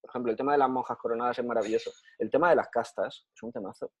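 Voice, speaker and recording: A man's voice: medium pitch, fairly even intonation, very fast, dry recording. A man from Spain with a Spanish accent, giving an enthusiastic, repetitive answer in a video call after a lecture. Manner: enthusiastic, repeats phrases for emphasis. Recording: muffled video call audio.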